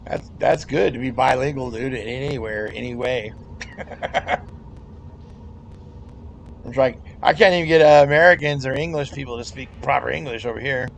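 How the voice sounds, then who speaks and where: A man's voice: medium pitch, moderate pace, gravelly, pauses often, a little hiss or hum. A middle-aged man chatting casually over game voice chat, rambling, laughing often and swearing freely. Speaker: gamer in voice chat.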